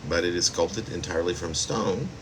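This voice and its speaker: A man with a very deep voice reads his own poetry aloud. It is a poet's recitation, recorded plainly with a faint hum of air conditioning behind the words. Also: moderate pace. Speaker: poet. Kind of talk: poetry reading of own work.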